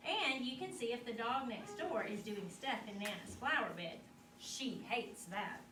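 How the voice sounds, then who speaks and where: A woman reading a picture book aloud to children, expressive and teacherly, pausing to put questions to her young listeners. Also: a little high. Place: echoey indoor room at a school literacy event. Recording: roomy, distant mic with clear background noise.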